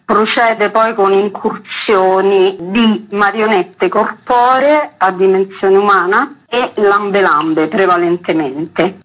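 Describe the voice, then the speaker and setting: Slow-speaking woman festival director on radio. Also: mid-range voice, a bit bright, poor audio. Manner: measured, explanatory, with hesitations. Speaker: arts festival director, guest. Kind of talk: radio interview. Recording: radio broadcast.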